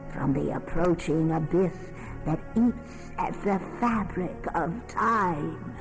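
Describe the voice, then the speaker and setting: An actor playing an ominous otherworldly guide, solemnly and portentously narrating a dark fantasy game. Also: male voice, on the high side, vocal fry, poor audio.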